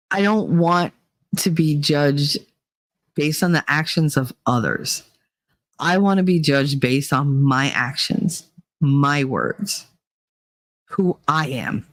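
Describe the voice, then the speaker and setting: A woman's voice: low-pitched, dry recording. Blunt, profane woman ranting her opinions. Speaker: vlog host. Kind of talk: casual opinion monologue.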